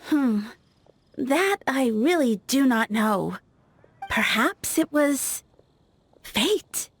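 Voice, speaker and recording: A woman voicing a lovestruck young townswoman in a video game dub, talking in a dreamy, halting way. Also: high voice, expressive, husky, full character voice.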